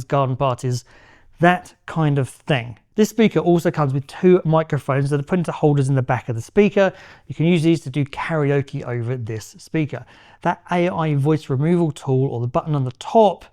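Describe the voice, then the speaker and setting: A man's voice: on the high side, darkish tone. A man giving a casual, upbeat product review walkthrough as a tech reviewer.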